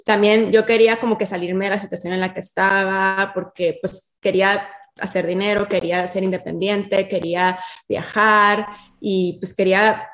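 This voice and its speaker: A woman's voice: mid-range voice, flat delivery, moderate pace, a bit bright, echoey room. A woman from Mexico in her thirties with a Mexican accent, speaking as an interview guest in casual talk, slipping English terms in among hesitant fillers. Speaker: army officer guest.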